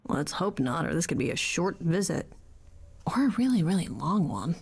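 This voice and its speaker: A woman's voice: fairly low pitch, very animated, moderate pace, gravelly, breathy edge, full character voice. A woman voicing a loyal, nerdy young best friend in a video game, with a wry, teasing, naturalistic delivery. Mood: wry, nervous. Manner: wry, teasing, hesitant asides.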